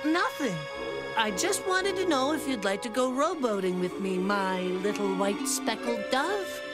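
Casting: boastful chubby cartoon boy, slow hammy delivery, swooping pitch, loud music behind. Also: expressive. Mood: flattering, smooth. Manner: grandiose, hammy. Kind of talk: cartoon voice acting.

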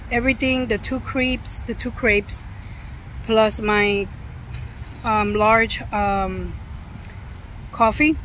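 A middle-aged woman giving a casual food review to the camera, halting and repeating words as she goes. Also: mid-range voice, a little hiss or hum, rough recording. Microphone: camera or phone at arm's length. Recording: music behind the voice.

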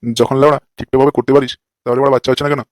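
A man plays a lecherous fake sadhu in a comic cartoon. He rattles on extremely fast at a middling pitch, brash and foul-mouthed, scolding everyone in a dry, close recording. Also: little pitch movement, dry recording, natural voice. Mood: mocking.

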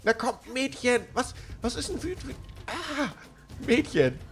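A man's voice: very high-pitched, lively intonation, unhurried, faint music behind. A German man with a cold, chatting casually while commenting on gameplay, rambling and jokey. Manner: chatty, rambling, gets loud and jokey when panicking. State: has a cold. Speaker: let's play commentator.

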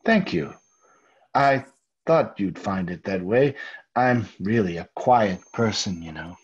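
A man playing a nervous, overpolite murderer hiding his guilt, halting and husky, in old-time radio theater style. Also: lowish voice, expressive, exaggerated voice. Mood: ingratiating. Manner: halting, over-courteous, stammering. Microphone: video call. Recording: dull, lo-fi video call audio.

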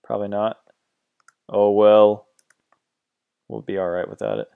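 A man talking through a software tutorial in a relaxed, hesitant way, with many ums and uhs as he describes settings. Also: lowish voice, measured pace, vocal fry, smooth, narrow, tinny audio. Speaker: music production tutor. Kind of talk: screen-recorded software tutorial.

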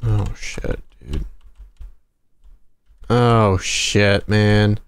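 A man in his twenties doing casual gameplay commentary and telling personal stories in an animated, rambling, conversational way. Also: on the low side, drawn-out words, clean tone, no echo, studio quality. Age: 20s. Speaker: gaming video host. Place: small dim room at home, indoors.

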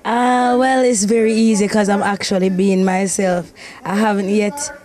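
A woman's voice: medium pitch, little pitch movement, moderate pace, darkish tone. A woman in her thirties with a Jamaican accent, a comedian answering interview questions into a handheld microphone outdoors. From Portland, Jamaica. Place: outdoors at night by shrubbery.

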